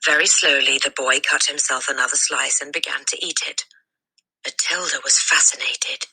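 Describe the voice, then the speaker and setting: Low, very bright, husky woman storyteller. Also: fairly even intonation, normal speed, poor audio. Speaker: storybook reader. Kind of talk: expressive scripted read-aloud of a children's story.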